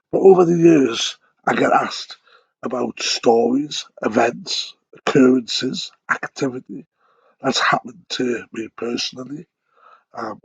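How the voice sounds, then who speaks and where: A man hosting a solo podcast while ill with a cold, so his voice is croaky and nasal. He talks in a chatty, conversational way, with ums and restarts. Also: mid-range voice, dry recording, dull audio. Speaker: podcast host and paranormal investigator. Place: at home in bed.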